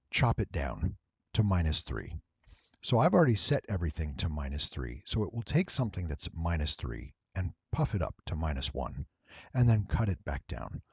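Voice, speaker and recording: A man with a low, slightly rough voice walks through a tutorial. He explains deliberately and with emphasis in a very dry, close recording. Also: a little mellow, no room sound, highs cut off. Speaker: voice actor giving an audio editing tutorial. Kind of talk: explanatory screen-recording narration.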